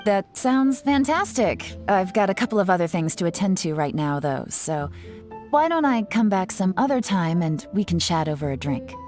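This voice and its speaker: An actress playing a cool, self-assured woman investigator in a video game, smooth, composed and politely probing. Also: on the low side, sing-song, normal speed, a little mellow, faint music behind. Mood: polite, breezy.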